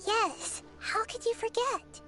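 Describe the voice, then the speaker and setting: A wise little girl deity with an extremely high voice. She speaks gently and thoughtfully in clear phrases, as a video game character with faint music underneath. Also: slow pace. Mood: puzzled. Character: small, wise, kind young girl deity.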